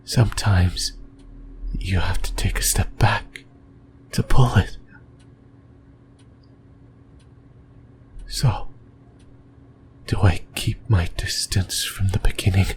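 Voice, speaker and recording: A man playing an obsessive, lonely sleep paralysis demon in a breathy, intimate whisper for an ASMR horror roleplay, hesitant and rambling. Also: low voice, a little hiss or hum. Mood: anxious rambling.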